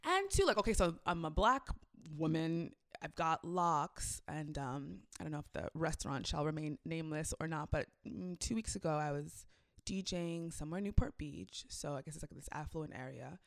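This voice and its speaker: Animated young woman telling a story. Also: low-pitched, normal speed, creaky. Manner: animated storytelling, acts out quotes. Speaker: club DJ. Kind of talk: casual conversation.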